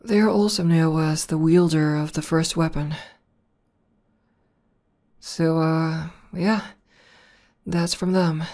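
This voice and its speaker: A woman playing an affectionate, bashful tomboy demoness warrior in an intimate ASMR roleplay, talking softly and tenderly with some hesitation. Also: deep voice, steady tone, slow, long pauses. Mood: calm, reminiscing. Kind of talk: ASMR roleplay, intimate one-sided conversation.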